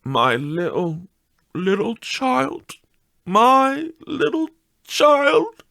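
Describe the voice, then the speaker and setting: Storybook father in mourning, voiced by a male reader: tender, poor, grief-stricken, slow-spoken, close dry sound. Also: very high voice, animated, vocal fry, bright, forceful tone, no echo, pitched above the performer's own voice, full character voice. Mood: anguished grief. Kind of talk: dramatic audiobook character acting.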